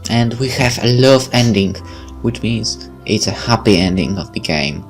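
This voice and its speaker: A man's voice: on the low side, lively intonation, soft background music. A man from Poland with a Polish accent, Polish as his first language, reading a visual novel aloud and chatting loosely as a let's play host. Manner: unhurried, loose, slightly broken phrasing.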